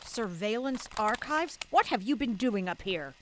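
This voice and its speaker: A woman playing a brisk, sardonic, take-charge adventurer in an audio drama, recorded in a noisy scene. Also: fairly high pitch, expressive. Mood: wry, commanding.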